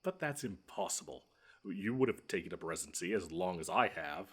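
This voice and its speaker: Audio roleplay monster, male actor: very low voice, smug, taunting, theatrical, menacing but quick to get flustered. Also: lively intonation, a bit bright, husky, full character voice. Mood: incredulous, haughty. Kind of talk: scripted one-sided audio roleplay.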